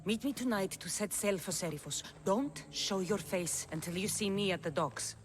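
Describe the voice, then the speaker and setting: A woman's voice: mid-range voice, lively intonation, normal speed, soft background music, natural voice. An actress playing a bold, blunt female mercenary in a video game, speaking firmly and with command. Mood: resolute. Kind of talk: video game cutscene acting.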